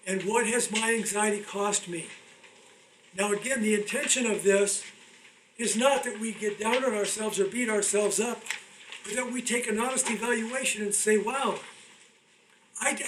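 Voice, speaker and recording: A man's voice: high voice, fairly bright tone, distant mic, slight background noise, rough recording. A man hosting and teaching on self-help, speaking earnestly and repeating phrases for emphasis. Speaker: host, therapist teaching a class and interviewing.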